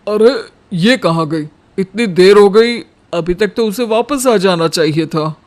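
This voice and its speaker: A man playing a playful, romantic and easily worried young husband in a cartoon story, with a dramatic, expressive delivery. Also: high voice, a little slow. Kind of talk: cartoon storybook character acting.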